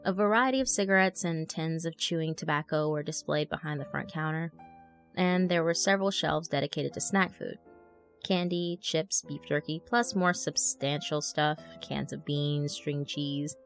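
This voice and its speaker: Woman reading as a low-voiced, chatty young blogger. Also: moderate pace. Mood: descriptive, wary. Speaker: narrator. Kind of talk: scripted horror story narration. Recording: music under the voice. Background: background music.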